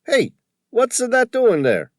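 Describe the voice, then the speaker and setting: A man voicing an excitable old male shop owner with rough, folksy speech. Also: very high voice, measured pace, dry recording, clean studio sound, voice pushed up, heavily acted. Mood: indignant.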